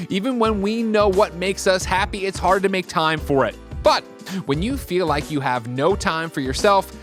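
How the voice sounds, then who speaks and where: A man reading a scripted sponsor advertisement with emphatic, clearly promotional delivery. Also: a little high. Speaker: host reading sponsor ads. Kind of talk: scripted ad read.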